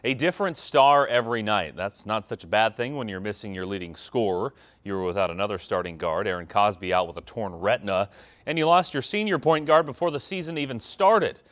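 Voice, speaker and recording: A man's voice: lowish voice, animated, a little fast, a bit bright. An American man reading scripted sports news as a television anchor.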